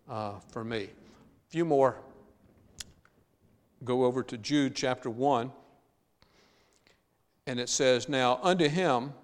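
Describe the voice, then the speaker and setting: A male pastor delivers a sermon in a church, earnest in tone. He slows and stretches his key words to press a point home. His voice rings with the long reverb of a large sanctuary. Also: mid-range voice.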